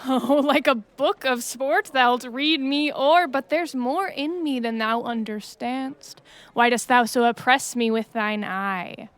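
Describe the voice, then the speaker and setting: A young woman plays a bold Trojan warrior in a Shakespeare audio drama. The voice is clearly high, with slow, measured declamation and a defiant, honourable bearing. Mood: wry, challenging. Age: young adult.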